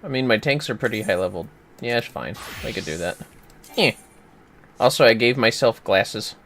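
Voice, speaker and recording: A man chatting casually while gaming on a livestream, playful, exclamatory and quick to swear. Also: lowish voice, lively intonation, a bit bright, faint music behind. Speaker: gamer on a livestream. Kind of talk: casual gameplay chat.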